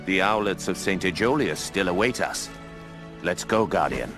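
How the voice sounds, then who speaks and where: Mentor owl: lower voice, measured, solemn authority. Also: male voice, expressive. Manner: commanding, measured. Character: wise older male owl mentor, steady. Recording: game audio with music behind.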